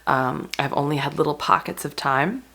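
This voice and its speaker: A hoarse woman with a low, rough, fry-laden voice talks casually through her home pantry project. She rambles a bit and fills gaps with ums, sounding like she's nursing a cold. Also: normal speed, bright, forceful tone. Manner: chatty, rambling with many ums. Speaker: homestead vlogger. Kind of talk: casual walkthrough vlog. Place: converted dining room pantry, indoors.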